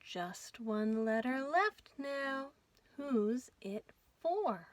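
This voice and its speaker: Woman, high voice, animated sing-song storytime reader for young children, noticeable background noise. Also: very slow. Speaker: children's librarian hosting storytime.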